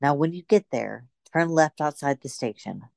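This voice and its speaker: A woman playing a bold, secretive young rebel lover in a radio play, brisk and teasing. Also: sits low, lively intonation, vocal fry, a little mellow, no room sound, studio quality. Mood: urgent, secretive.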